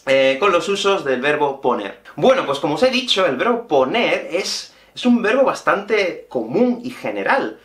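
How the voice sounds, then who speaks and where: Animated, bright-voiced Peninsular Spanish man teaching. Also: high-pitched. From Spain. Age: 30s. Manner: expressive, explanatory, addresses viewers directly. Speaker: Spanish teacher and video host. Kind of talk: language lesson to camera. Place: small indoor room with whiteboard and bookshelf. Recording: echoey small room.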